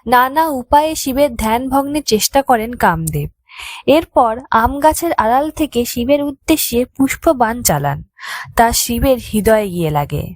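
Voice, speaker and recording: A woman's voice: fairly high pitch, sing-song, moderate pace. A woman reading a scripted mythological tale in a formal, literary storytelling manner. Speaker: storyteller narrator. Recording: background music under the voice. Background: music.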